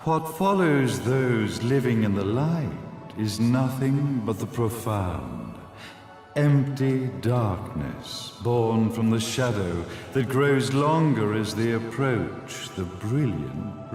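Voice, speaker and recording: A man's voice: medium pitch, a little mellow. An actor playing a grandiose, ancient villainous father in a video game cutscene, with a formal, theatrical delivery. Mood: grandiose, ominous. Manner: slow, theatrical, pompous, formal. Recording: echoey hall reverb, music underneath.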